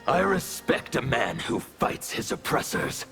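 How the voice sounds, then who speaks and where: An actor voicing a fanatical, cruel anarchist villain in a video game, theatrical, gravelly and menacing. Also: male voice, mid-range voice, big pitch swings, a little slow, pushed and bright, soft background music, heavily acted. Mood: fanatical menace.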